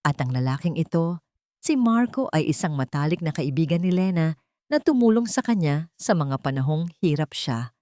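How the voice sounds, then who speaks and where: A woman's voice: deep voice, lively intonation, normal speed, dark tone, dry recording. Resolute wealthy male lead acted by a woman, formal and defiant defender, courtroom drama, very dry recording. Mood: firm, defiant. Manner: firm, formal, declarative. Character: protective male billionaire defending his beloved, resolute.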